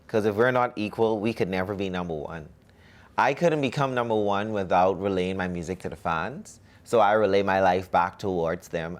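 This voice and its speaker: A young man from the Bahamas, a musician answering interview questions in a cocky, self-promoting way. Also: sits low.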